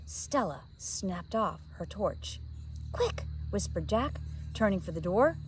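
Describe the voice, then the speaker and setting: A woman narrating a children's story aloud in an expressive read-aloud style, like a teacher. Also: mid-range voice, a little slow, noisy background.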